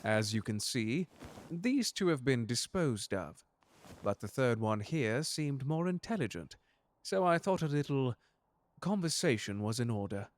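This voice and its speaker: A man playing a suave, unflappable butler in an audio roleplay drama, speaking in formal, genteel, precise language with quiet menace. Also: mid-range voice, sing-song, unhurried, no echo. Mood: smooth menace.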